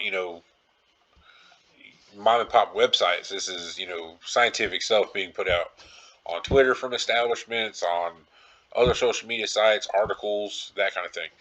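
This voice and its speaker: A man's voice: lowish voice, bright, forceful tone, poor audio. A man hosting a podcast solo in a casual, rambling style, informal and circling back to repeat his phrases. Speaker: podcast host.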